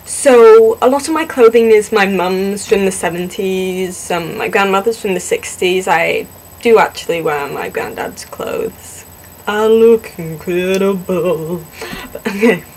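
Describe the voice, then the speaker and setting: A young woman, born in Singapore and raised in the southwestern US, casually answering questions about herself to a camera, chatty and self-deprecating with many pauses and ums. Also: mid-range voice, darkish tone, slight background noise, rough recording. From born in Singapore, grew up in the southwest US. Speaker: vlogger in a fan collab channel.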